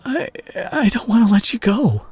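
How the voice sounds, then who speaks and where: Man playing protective superhero, raspy breathy voice, animated intonation, earnest and halting, guilt-ridden tenderness, dramatic audio roleplay. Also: high-pitched, no room sound, exaggerated voice. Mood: tearful, pleading. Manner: earnest, emotional, halting delivery with sighs.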